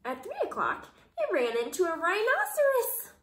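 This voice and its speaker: A young woman reading a picture book aloud to young children, expressive and dramatic, pausing to explain and ask questions. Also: extremely high pitch. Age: young adult. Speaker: kindergarten teacher reading aloud. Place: living room at home. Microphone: camera or phone a short distance away. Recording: roomy indoor echo.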